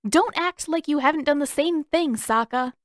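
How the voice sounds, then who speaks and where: A woman's voice: high-pitched, moderate pace, dry recording, studio quality, pitched above the performer's own voice, natural voice. A woman voicing a sarcastic, stubborn teenage girl in an audio story, emphatic and defensive.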